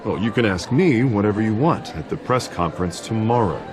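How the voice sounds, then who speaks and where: An actor playing a charming, self-assured, ambitious American politician in a video game. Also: male voice, fairly low pitch, animated, brisk, music in the background, close to an everyday voice. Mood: firm, guarded. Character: smooth, upbeat, ambitious male politician. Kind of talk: video game character acting.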